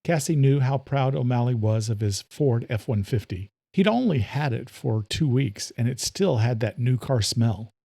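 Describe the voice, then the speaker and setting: A man's voice: mid-range voice, animated, normal speed, gravelly, few pauses, dark tone, no echo. A man narrating scripted horror-thriller fiction as a storyteller, close on the mic.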